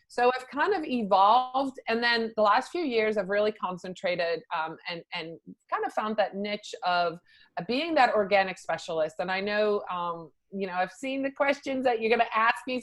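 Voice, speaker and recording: A middle-aged woman from the Netherlands talks through her work as a podcast guest. Her voice sits at an ordinary pitch, and she speaks in a loose, chatty way with lots of ums and restarts. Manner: chatty, many fillers and restarts. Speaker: social media consultant, podcast guest. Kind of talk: conversational interview answers.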